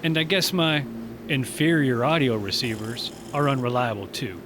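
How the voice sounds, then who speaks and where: A wry barkeeper played by a man trades dry remarks in a sci-fi comedy audio drama. His voice is mid-pitched and easygoing, with sardonic understatement. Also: normal speed, background noise, close to an everyday voice. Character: wry, easygoing male space station barkeeper.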